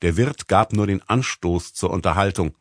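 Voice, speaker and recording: A man narrating a literary audiobook in a measured, formal manner with rhetorical emphasis. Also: deep voice, no echo, clean studio sound. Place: dry recording studio.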